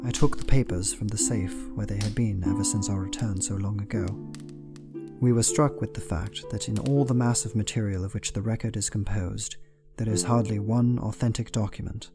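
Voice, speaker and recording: An actor playing a reflective Victorian husband and father, narrating an audio drama in a measured way. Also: fairly low pitch, steady tone, loud music behind the voice, natural voice. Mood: reflective, calm.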